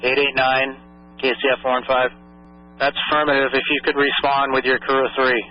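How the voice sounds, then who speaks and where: A man's voice: medium pitch, level tone, a little fast. A man working as a fire dispatcher, making procedural radio announcements in clipped phrases and repeating messages back. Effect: two-way radio. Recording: muffled lo-fi dispatch radio audio.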